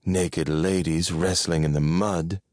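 A man's voice: sits low, steady tone, slow pace, a little mellow, no room sound, studio quality. A man voicing a blunt, selfish, easily bored female cat creature with a disdainful manner, in an audiobook.

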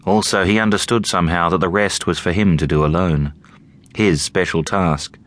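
A man narrating an audiobook in a measured, deliberate storytelling manner. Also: sits low, steady tone, fairly bright tone.

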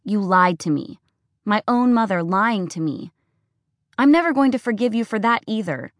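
A woman's voice: on the high side, big pitch swings, fast talker, dry recording, studio quality, voice pushed up. A woman voicing a defiant, tearful teenage farm girl who pleads and accuses. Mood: pleading, defiant.

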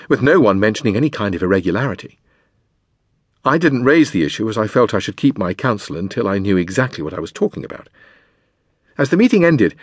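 A man reading a memoir as a scripted audiobook narration, in a measured, deliberate manner with dramatic pauses. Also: fairly low pitch, slightly rough, dry recording, clean studio sound.

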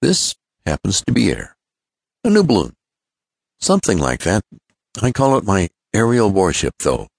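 A man's voice: fairly low pitch, wildly swooping pitch, moderate pace, vocal fry, pauses often, slightly rough, no room sound, clean studio sound, pitched above the performer's own voice, heavily acted. A man voicing an earnest, confident young male inventor in an adventure storybook, explaining things keenly. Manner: explanatory, keen.

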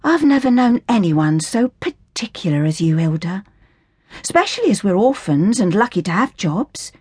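A woman voicing a meek, pious young orphan girl who speaks softly and gently. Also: medium pitch, big pitch swings, normal speed, husky, dry recording, clean studio sound. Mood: gentle. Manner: soft and gentle.

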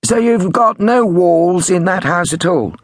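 High-pitched cheeky Liverpudlian prankster played by a man. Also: dry recording, clean studio sound. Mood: mischievous. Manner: mock-polite, quick-fire questioning. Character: cheeky young male prank caller, mischievous and mock-innocent. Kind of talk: acted storybook dialogue.

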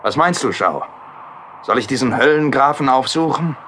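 A man's voice: mid-range voice, animated, normal speed, bright, forceful tone, a little hiss or hum. An actor playing a loyal, brave male friend in a radio play, blunt and reassuring.

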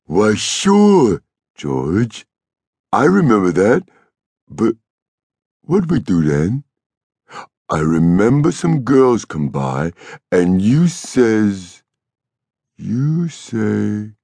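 A man voicing a big, childlike, simple-minded man in an audiobook, with slow, halting, naive rural American speech. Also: lowish voice, very animated, gravelly, dark tone, slightly rough, no room sound, voice pushed up, full character voice. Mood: delighted.

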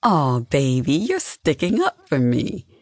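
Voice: medium pitch, very animated, moderate pace, a little mellow, husky, no echo, studio quality, pitched above the performer's own voice. A narrator voicing a patient, placating adult wife in a soothing, conciliatory way.

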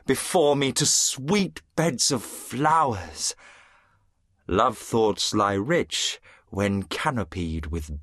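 Slow, languid lovesick duke. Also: male voice, on the low side, a bit bright, no room sound, studio quality, heavily acted. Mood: yearning. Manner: languorous, poetic, sighing delivery. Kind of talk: Shakespearean audio drama.

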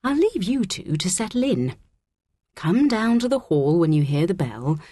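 Woman playing a calm, firm head teacher, animated. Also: on the low side, normal speed, pitched above the performer's own voice. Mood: welcoming. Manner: calm, authoritative.

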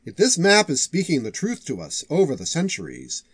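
Old male archive master for fantasy audiobook, played by a male narrator. Dry, theatrical, teasing, animated intonation. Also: fairly high pitch, normal speed, breathy edge, no echo, pitched above the performer's own voice, exaggerated voice. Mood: rising excitement. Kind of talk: audiobook character voice.

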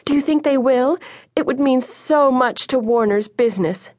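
A woman's voice: mid-range voice, very animated, takes it slowly, breathy edge, dry recording, studio quality, voice pushed up, full character voice. A woman voicing a doting, fluttery housewife mother in a storybook, effusive and over-sweet. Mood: gushing, excited.

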